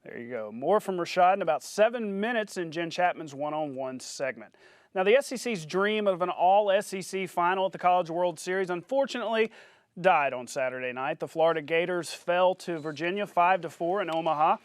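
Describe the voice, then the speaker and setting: A male sports anchor with a notably high voice. He reads scores and narrates highlights in a punchy, announcer-like way, as on a local television sportscast. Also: expressive, fairly quick, clear, resonant tone, no echo, studio quality.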